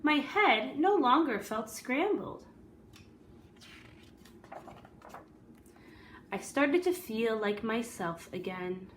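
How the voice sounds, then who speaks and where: Woman reading as an earnest storybook egg, very slow. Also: fairly high pitch, expressive, fairly bright tone, slight background noise. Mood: hopeful, resolved. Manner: slow, deliberate, dramatic storybook delivery. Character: earnest, weary male good egg narrating his tale.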